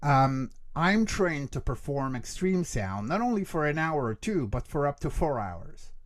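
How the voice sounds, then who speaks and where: A man's voice: fairly high pitch, sing-song, a little slow. A middle-aged man speaking as an expert interview guest, explaining earnestly and emphatically and often checking that the listener follows. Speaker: interview guest, creature voice actor and vocal coach.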